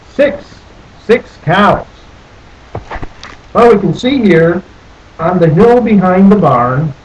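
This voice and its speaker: A man reading a picture book aloud as a storyteller, deliberately, with questions and asides to his young listeners. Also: on the high side, a little mellow, highs cut off. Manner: deliberate, asks the listeners questions and repeats phrases.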